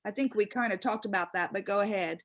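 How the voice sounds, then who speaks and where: A woman's voice: mid-range voice, fast talker. A woman hosting a casual live chat in an agreeable, affirming way. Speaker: livestream host. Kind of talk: casual live chat, relaying viewer questions.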